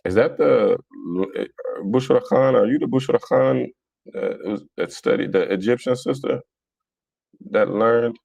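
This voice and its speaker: A man's voice: medium pitch, measured pace, creaky, a little mellow, breathy edge, no echo. A man with a Philadelphia accent hosting a casual live religious debate, answering viewers in a blunt, colloquial, mocking way. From Philadelphia, United States. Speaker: livestream host.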